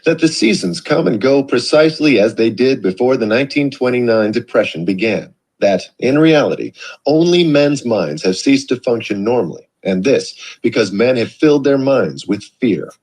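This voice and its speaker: A man reading a self-help book aloud in a measured, expressive way. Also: medium pitch, breathy edge, low-quality recording. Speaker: audiobook reader. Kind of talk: read-aloud narration.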